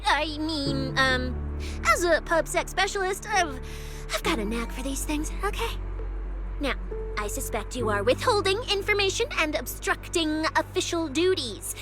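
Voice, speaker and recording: A high-pitched, playful young policewoman. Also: measured pace, music in the background. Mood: probing, mock-stern. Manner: expressive, teasing, mock-official, with hums and sighs. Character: playful, bossy, easily flustered young female police specialist. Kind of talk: anime-style video game voice acting.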